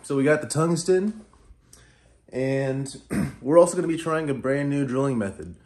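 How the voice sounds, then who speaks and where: A man's voice: medium pitch, moderate pace, a little mellow. A man in his thirties hosting a vlog in an informal, matter-of-fact way, recorded in a living room. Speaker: video host. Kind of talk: casual explainer vlog.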